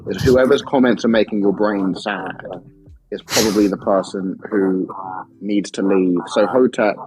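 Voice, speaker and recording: A man in a casual group chat who makes wry, deadpan jokes. His voice sits on the low side and stays easygoing. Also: moderate pace, poor audio. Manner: wry, dryly joking. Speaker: live stream panelist.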